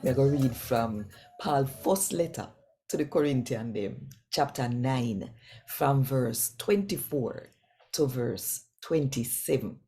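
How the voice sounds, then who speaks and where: Low, slow-speaking Jamaican woman. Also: warm tone, soft background music. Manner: direct, conversational, addresses listeners with questions. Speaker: Bible reader and host. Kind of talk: devotional talk and scripture reading in Jamaican Patois.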